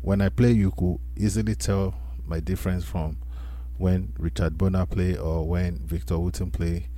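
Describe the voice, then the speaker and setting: A man telling his own story as a musician guest on a radio show, speaking earnestly and unhurriedly with plain phrasing and pauses. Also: sits low, steady tone, warm tone.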